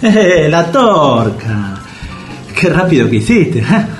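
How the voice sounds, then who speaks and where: Un actor argentino que interpreta en un radioteatro cómico a un hombre ingenuo e inseguro, de habla coloquial y titubeante. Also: male voice, on the high side, big pitch swings, takes it slowly. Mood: doubtful, teasing. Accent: Argentine, Rioplatense. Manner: hesitant, colloquial. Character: gullible, anxious man pampered by saleswomen, eager. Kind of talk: comic radio play acting. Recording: loud music behind the voice.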